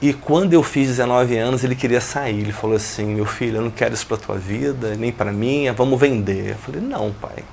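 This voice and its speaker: A man in his forties from Brazil, a business owner talking through his career as an interview guest, in a steady, reflective way. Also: mid-range voice, a little fast, vocal fry, few pauses, slight background noise. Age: 40s. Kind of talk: interview, telling his life story.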